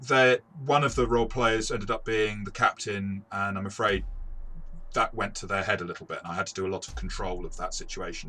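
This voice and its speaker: A man in a conversational game review, speaking in a hesitant, halting way and often correcting himself. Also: lowish voice, pushed and bright. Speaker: game reviewer and experienced game master.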